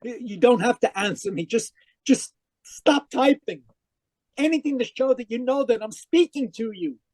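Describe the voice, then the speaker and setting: A man acting a tormented dead man who narrates his afterlife in old-time radio mystery style, halting and pleading. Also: extremely high pitch, expressive, a little mellow, no echo. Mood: desperate pleading. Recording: live video call performance.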